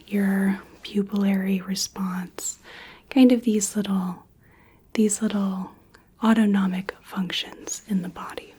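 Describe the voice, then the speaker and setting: Woman, ASMR clinician roleplay, mellow breathy whisper, very unhurried. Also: mid-range voice. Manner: soft unvoiced whisper, gentle step-by-step instructions. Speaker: ASMR creator playing an examining clinician.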